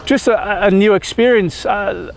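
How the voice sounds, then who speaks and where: A man's voice: high-pitched, measured pace, gravelly. A man in his thirties from the Netherlands, a cricketer giving a press interview outdoors, hesitant with many fillers and restarts.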